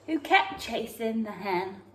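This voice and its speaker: Slow, lilting woman storyteller. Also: fairly high pitch, animated, a little hiss or hum. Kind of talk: expressive children's picture-book read-aloud. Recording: roomy, echoey sound.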